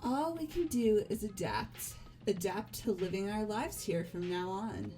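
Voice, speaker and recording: Woman doing a fan dub, super calm and measured, soft music underneath. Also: medium pitch. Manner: calm, measured, composed delivery. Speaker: player voicing a character. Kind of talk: fan voice acting of a game script. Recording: faint music behind. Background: faint game music.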